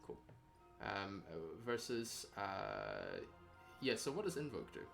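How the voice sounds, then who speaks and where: Guy w a low voice and lots of vocal fry, feeling his way thru a tutorial out loud, soft music. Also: wildly swooping pitch, slow pace. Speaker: writer learning software. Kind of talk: casual walkthrough with questions.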